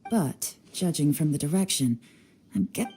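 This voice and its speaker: An actress playing a cool, composed and shrewd young woman in charge of an investigation in a video game, measured and commanding. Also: low-pitched, expressive, noisy background. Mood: calm, analytical. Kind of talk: video game character acting.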